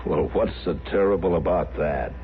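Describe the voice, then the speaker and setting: A man's voice: sits low, moderate pace, lightly acted. A man playing a stern, weary frontier marshal in an old radio western, American and terse. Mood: exasperated. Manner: terse, firm, commanding. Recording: muffled, noisy old radio broadcast.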